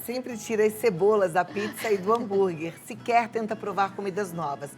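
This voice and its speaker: A woman's voice: mid-range voice, moderate pace, a little mellow, faint music behind. A middle-aged woman with a Brazilian accent, hosting a live talk show in an effusive, chatty way. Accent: Brazilian Portuguese, softened after decades in São Paulo. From Rio de Janeiro, Brazil. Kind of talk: casual live hosting, reading viewer questions.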